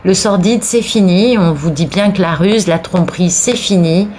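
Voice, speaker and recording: A woman's voice: on the low side, moderate pace, a little mellow, slight background noise. A woman with a cold giving a tarot card reading for viewers, talking in an encouraging way with frequent restarts and repeated words. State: has a cold, sneezing. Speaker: tarot reader.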